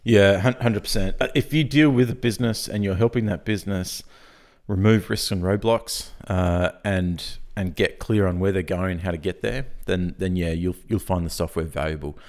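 An Australian man with a croaky early-morning voice, chatting informally and jokily as a podcast guest pitching his business. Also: moderate pace, darkish tone. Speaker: podcast guest, software company founder. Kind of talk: casual conversational pitch.

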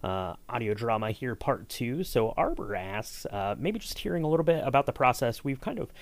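A man hosting a casual podcast in a conversational, halting way, with frequent ums and uhs. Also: mid-range voice, very animated. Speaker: podcast host and sound designer.

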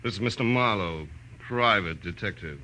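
A man's voice: lowish voice, normal speed, sharp tone. An actor playing a stiff, reserved man of a wealthy household in a 1940s radio drama. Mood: guarded. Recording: very noisy old radio recording.

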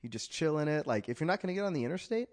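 A man's voice: fairly high pitch, animated, very fast. Laid-back man teasing in a garage. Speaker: podcast guest. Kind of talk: casual late-night chat. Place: home garage.

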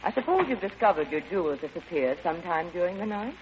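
A woman's voice: fairly low pitch, lively intonation, fast talker, a little hiss or hum, natural voice. A woman playing a haughty, scheming duchess in an old-time radio drama, on a muffled recording with long reverb. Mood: mock polite.

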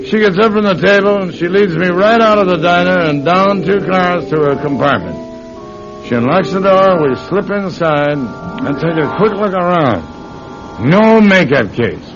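A man acting in an old-time radio drama as a sly thief posing as a folksy, fatherly priest, with hesitant, chuckling delivery. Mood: sly, gloating. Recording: muffled, noisy old radio recording with rumble.

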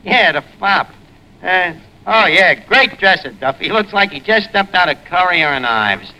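An actor playing a brash, lowbrow tavern manager in a 1940s radio comedy, with a New York accent and mangled street grammar. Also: male voice, expressive, a little slow. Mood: breezy. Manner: ungrammatical street talk with malapropisms. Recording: noisy old radio recording.

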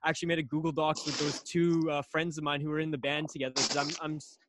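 A young man from Canada, a guest on a podcast, telling a long story casually with lots of fillers and restarts, his voice a little raspy. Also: fairly high pitch, level tone, fast, background noise. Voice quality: slightly raspy.

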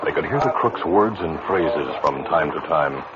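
A very noisy, muffled vintage radio recording carries a male narrator. His voice is deep, and he reads scripted western drama narration in mid-century broadcast style. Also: expressive, normal speed.

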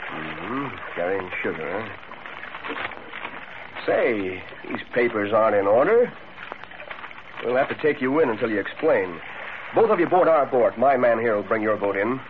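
An actor playing a bossy, crafty gang leader in 1940s radio style, commanding and slangy. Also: male voice, lowish voice, animated, unhurried, pauses often. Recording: tinny, faintly noisy old radio recording.

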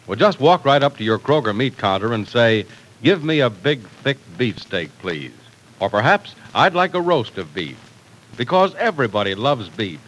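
A man's voice: fairly bright tone, poor audio. A man announcing and selling for a 1940s radio drama, upbeat and persuasive. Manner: upbeat, persuasive sales pitch.

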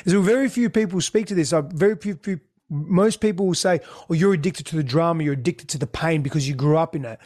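This is a man whose was Greek. He talks openly and reflectively as an interview guest, often doubling words mid-thought. His voice sits a little high for a man. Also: quick pace, few pauses, darkish tone. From Australia. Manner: candid, repeats words when searching.

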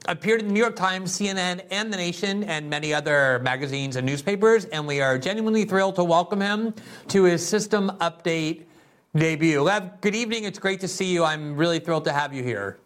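A man's voice: high-pitched, fairly quick, fairly bright tone. A man hosting a political interview show, reading a sponsor spot and asking long, winding questions in an earnest way. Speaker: show host.

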